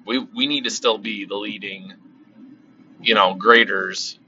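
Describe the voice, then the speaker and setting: A man's voice: lowish voice, fairly even intonation, unhurried, bright, forceful tone, slight background noise. A man talking casually about card collecting, rambling and repeating himself, and losing his voice. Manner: rambling, repeats words, many fillers. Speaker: card collecting channel host. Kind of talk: casual monologue. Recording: slightly dull lo-fi sound.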